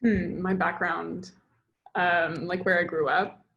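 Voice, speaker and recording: A woman speaks as a documentary director, and she thinks aloud in a hesitant way with many fillers. Her voice sits fairly low. The sound is roomy and echoey. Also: little pitch movement, takes it slowly, creaky, a bit bright, muffled recording, a little hiss or hum. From Montreal, Canada. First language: French. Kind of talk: casual interview. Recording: roomy echo.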